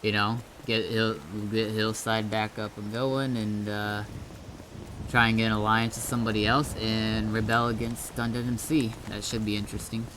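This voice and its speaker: A man streaming a game as an admin, chatting casually in a halting, explanatory way with some swearing. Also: lowish voice, level tone, a bit bright, slight background noise.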